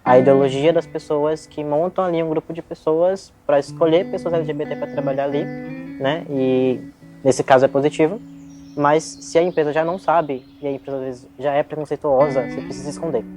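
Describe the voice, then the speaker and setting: A man's voice: on the high side, steady tone, a little fast. Reflective young Bahian Brazilian man, guitar behind. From Salvador, Bahia, Brazil. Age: 20s. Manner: reflective, articulate, frequent "né" tags. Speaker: interviewee, psychologist and DJ. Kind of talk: spontaneous documentary interview.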